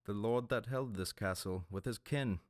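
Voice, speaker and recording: A man's voice: on the low side, moderate pace, no echo, studio quality, voice pushed up, natural voice. A man voicing a vile commanding pirate. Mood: curt. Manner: curt, commanding.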